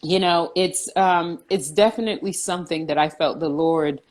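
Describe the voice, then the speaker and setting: A woman in her forties from New Jersey, a counselor speaking in a measured, earnest way with frequent ums. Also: fairly low pitch, a little hiss or hum. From New Jersey, USA. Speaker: guest counselor. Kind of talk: casual video chat interview.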